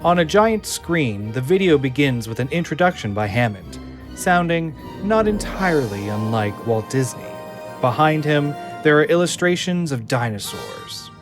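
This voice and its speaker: Male screenplay narrator, middling-high pitch. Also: sing-song, moderate pace, music in the background.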